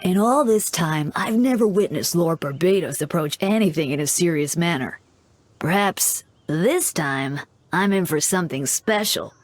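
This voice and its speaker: A woman's voice: on the low side, lively intonation, unhurried. A woman voicing a devout young male church deacon in a video game, composed and dryly teasing. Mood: wry. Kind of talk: English video game voice acting.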